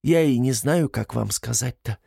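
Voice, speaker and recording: A man voicing a flustered, ashamed older woman who pleads haltingly in a breaking voice, in an audiobook. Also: medium pitch, gravelly, dark tone, slightly rough, no echo, studio quality. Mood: pleading, confessional. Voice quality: breaking, tearful voice.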